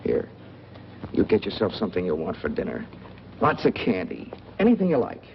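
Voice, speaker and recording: A terse, evasive noir drifter with a low, gravelly voice, coaxing in casual 1940s film style. The sound is a muffled old movie track. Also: male voice, huge pitch swings, normal speed, slight background noise, exaggerated voice.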